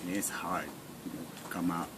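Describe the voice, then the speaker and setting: Low-voiced Mexican man, brief halting answers. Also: little pitch movement. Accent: Mexican Spanish. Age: 40s. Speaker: interviewee. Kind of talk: oral history interview. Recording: noisy recording with room hiss.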